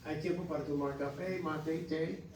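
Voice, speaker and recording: American pastor preaching in an echoey hall. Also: male voice, a little high, fairly even intonation, a little mellow, a little hiss or hum. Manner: earnest, exhorting with halting restarts. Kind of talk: Sunday school teaching. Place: church hall. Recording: echoey church hall from far off.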